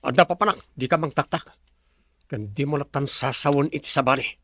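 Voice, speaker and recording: An actor with a Filipino accent playing an arrogant, scheming rich villain boss in a radio drama, domineering and snapping. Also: male voice, mid-range voice, a little fast, a bit bright, husky, no echo, clean studio sound. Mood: curt, threatening.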